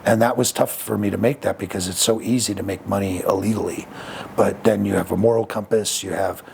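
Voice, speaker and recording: Man, podcast guest, low gravelly voice, candid storytelling, faint hiss behind. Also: steady tone, fairly quick, a little mellow. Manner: frank, reflective, with frequent fillers and restarts.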